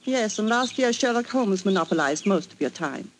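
An actress playing a young Victorian wife, gently teasing her husband, in 1940s radio drama style. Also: medium pitch, lively intonation, moderate pace, close to an everyday voice, poor audio. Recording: old radio broadcast with faint hiss.

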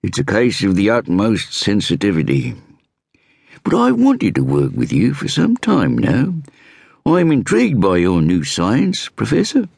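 A man voicing a brash, self-assured Scotland Yard inspector with an East Anglian drawl and drawn-out vowels, in a swaggering, teasing manner for an audiobook. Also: fairly low pitch, huge pitch swings, gravelly, a little mellow, slightly rough, dry recording, clean studio sound, voice pushed up, heavily acted. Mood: earnest. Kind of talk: audiobook character voice.

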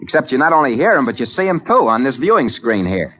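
A man's voice: mid-range voice, big pitch swings, fast talker, fairly bright tone. A man playing a slangy, impatient American salesman in a 1950s radio drama, folksy and wisecracking. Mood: brisk sales patter. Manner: folksy, colloquial, exasperated with children. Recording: dull vintage radio recording.

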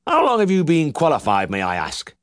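A man's voice: on the high side, wildly swooping pitch, a little fast, no room sound, studio quality, pitched above the performer's own voice. A man voicing a smug little old male farmer with a nasal West Riding Yorkshire twang, forever praising another vet. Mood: needling boastful.

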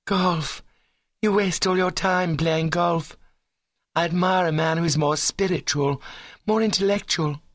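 A man's voice: sits high, fairly even intonation, measured pace, a bit bright, dry recording, studio quality, pitched above the performer's own voice. A man voicing an ambitious, haughty young woman with an earnest, prim, scornful manner in a comic story reading. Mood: disdainful.

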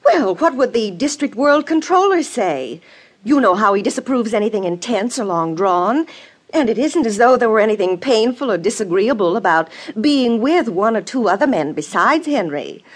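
An actress playing a gossipy, conformist friend who chides in an old radio drama style. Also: female voice, on the high side, animated, moderate pace. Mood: knowing, chiding. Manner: chiding, gossipy. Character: gossipy, conformist female friend in a futuristic society. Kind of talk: 1950s radio drama acting.

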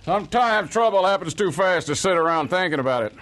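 A man's voice: sits high, animated, quick pace, fairly bright tone. An actor playing an irritable, cynical cowhand in a western audio drama, needling whoever he talks to.

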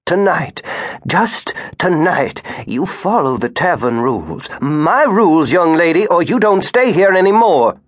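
A man's voice: a little high, big pitch swings, slow pace, husky, no room sound, clean studio sound, pitched above the performer's own voice, full character voice. A man reading an audiobook, voicing a stern but loving older grandmother who runs a tavern, scolding firmly. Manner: scolding, firm, affectionate. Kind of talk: audiobook character voice.

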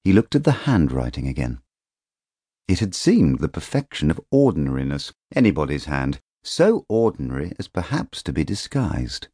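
A man narrating literary fiction as an audiobook, in a measured, expressive storytelling manner on a close studio microphone. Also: rumbling low, no room sound.

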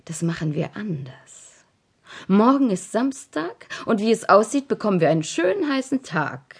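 A woman's voice: mid-range voice, normal speed, gravelly, breathy edge, exaggerated voice. A woman playing a strict but fond, moralizing aunt in a German children's audio drama. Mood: angry, stern. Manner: scolding, moralizing, expressive.

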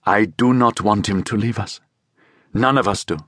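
A man's voice: fairly low pitch, moderate pace, a bit bright, no room sound, studio quality. A man voicing a weaker younger man in a narrated story, emotional and wavering between scorn and submission. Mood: sorrowful. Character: Judas, weaker younger man, conflicted, scornful, easily swayed.

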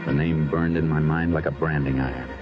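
A steady Texas Ranger narrates in a very deep, measured voice. It sounds muffled like an old radio broadcast, with loud music running underneath. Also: male voice. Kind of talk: 1940s radio acting.

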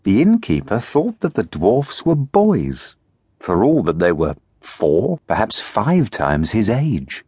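A man narrates a fairy tale in a very deep voice. His delivery is lively and full of rise and fall. The studio sound is dry and close. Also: slow, husky, no echo. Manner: expressive storytelling. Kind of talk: audiobook narration.

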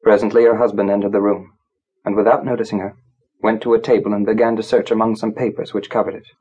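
A man narrating a literary story from a script, in a measured, grave, dramatic storytelling manner. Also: fairly low pitch, little pitch movement, warm tone, husky, dull audio. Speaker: audiobook narrator.

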